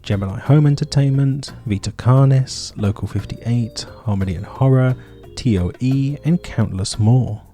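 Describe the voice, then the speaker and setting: A man's voice: medium pitch, normal speed, warm tone, soft background music. A man hosting and narrating a scripted video essay.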